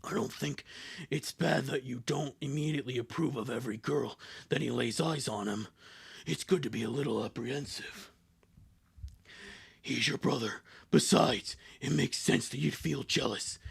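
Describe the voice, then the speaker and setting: Man voicing a gravelly, gloomy goth little sister. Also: mid-range voice, normal speed, pitched below the performer's own voice, heavily acted. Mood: gravely consoling. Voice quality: gravelly, husky. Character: gloomy, deadpan young goth sister consoling her sibling.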